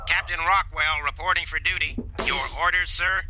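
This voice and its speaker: An actor playing a mocking young man in his twenties in a radio drama, brisk and coolly taunting. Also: fairly high pitch, fairly even intonation, background noise, lightly acted. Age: 20s.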